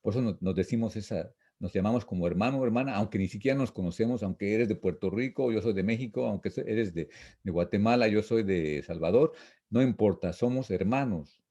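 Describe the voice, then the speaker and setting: A man teaching an informal Bible lesson, reading and explaining verses in a repetitive, halting, didactic way. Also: lowish voice, warm tone, dull audio. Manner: didactic, repetitive, halting with frequent false starts.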